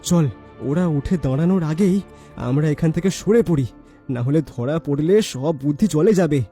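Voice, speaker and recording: A man's voice: on the high side, moderate pace, mellow, husky, faint music behind. An actor playing a crafty, confident young best friend in a cartoon, bossy and teasing as he urges his pal on. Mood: gleeful. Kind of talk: dramatic cartoon voice acting.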